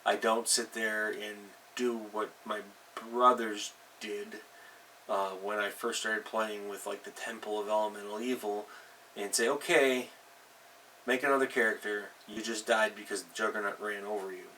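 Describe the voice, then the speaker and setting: A middle-aged man hosting an unscripted advice vlog as a game master, speaking haltingly and leaning hard on stressed words. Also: on the low side, level tone, pushed and bright. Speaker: host, tabletop game master. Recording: distant mic with faint background noise.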